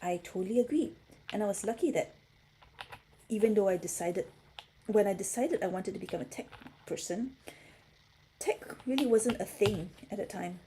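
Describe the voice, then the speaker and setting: Middle-aged woman from Singapore, mellow, relaxed livestream host, faint noise behind. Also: mid-range voice, moderate pace, pauses often, rough recording. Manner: chatty, reads and answers chat comments. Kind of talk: casual livestream chat.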